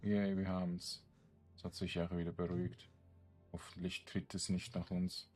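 A man's voice: deep voice, level tone, moderate pace, a little mellow, husky, soft background music. A man giving casual gameplay commentary, thinking aloud in short, loose fragments. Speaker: gamer streaming a let's play.

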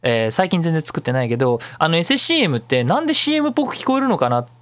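A man's voice: a little high, very animated, rapid-fire, a bit bright, no echo. A man hosting a casual solo radio talk, chatty and digressive, explaining at length with self-mocking asides. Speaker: radio show host.

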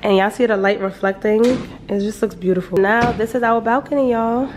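A chatty woman showing off a hotel suite, talking straight to her viewers in a mid-pitched voice. Steady background noise and room ambience run under her, as from a handheld camera. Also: brisk. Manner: chatty, addresses viewers as y'all. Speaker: travel vlogger co-host. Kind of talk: casual room-tour chat to viewers.

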